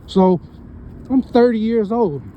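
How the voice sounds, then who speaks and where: High-pitched thirty-year-old man, rambling. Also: lively intonation, slow, muffled recording, slight background noise. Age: 30s. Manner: rambling, repeats himself, keeps saying "man" and "you know what I'm saying". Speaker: vlogger. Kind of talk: casual motivational monologue.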